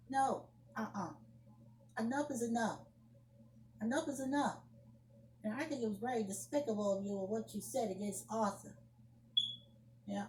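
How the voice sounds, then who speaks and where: A woman's voice: medium pitch, a little mellow, a little hiss or hum, close to an everyday voice. Woman acting an indignant young woman, echoey room. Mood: indignant scolding. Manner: scolding, emphatic, repeats phrases. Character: indignant young woman scolding her friend's relative by phone. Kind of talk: amateur solo acted monologue, one side of a phone argument. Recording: roomy echoing home recording.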